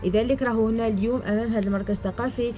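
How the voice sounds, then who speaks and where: Fast-talking Algerian woman reporter. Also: on the high side, steady tone, warm tone, slight background noise. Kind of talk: street interview and report to camera. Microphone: handheld reporter microphone.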